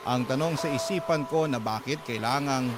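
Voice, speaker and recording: A man's voice: mid-range voice, normal speed, heavy background noise. A man with a Filipino accent narrating a dramatic first-person story as a radio drama narrator. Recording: loud music bed under voice. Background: loud music.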